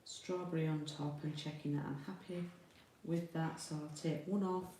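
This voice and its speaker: A woman's voice: low-pitched, steady tone, moderate pace, mellow. A woman with a Yorkshire accent giving a casual live craft tutorial, chatty and informal, thinking aloud as she works. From Yorkshire, England. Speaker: card-making demonstrator, host. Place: indoor room with a window. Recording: roomy, distant mic, faint noise, clicks.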